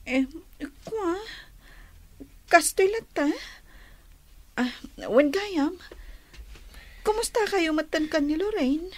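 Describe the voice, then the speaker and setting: A meek young daughter in a radio drama. Her voice is high and hesitant, with a troubled, pleading, remorseful manner. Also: lively intonation, takes it slowly, pauses often, heavily acted. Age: young adult.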